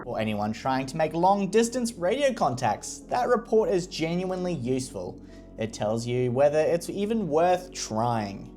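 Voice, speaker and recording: Explainer video narrator, medium pitch with very animated delivery. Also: normal speed, over music. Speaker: video presenter.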